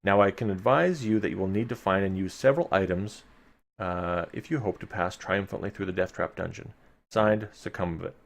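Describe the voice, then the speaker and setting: Man reading as a gravelly, formal secret adviser. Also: low voice, moderate pace. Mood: approving, advising. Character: knowing secret benefactor advising an adventurer by letter.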